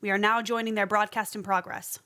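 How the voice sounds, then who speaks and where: An actress playing a formal, urgent news anchor making announcements in a radio drama. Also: female voice, mid-range voice, fairly even intonation, brisk, background noise, close to an everyday voice.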